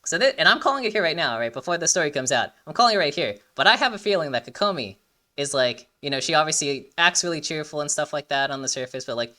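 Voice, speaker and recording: A man's voice: fairly high pitch, expressive, quick pace, sharp tone. A young man giving casual, rambling, emphatic commentary as a reaction video host.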